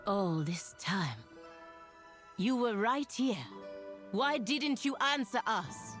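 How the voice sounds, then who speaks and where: A woman's voice: a little high, sing-song, slow, fairly bright tone, soft background music, full character voice. An actress voicing a fierce young troll warrior woman in a fantasy video game, blunt, intense and defiant. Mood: aggrieved.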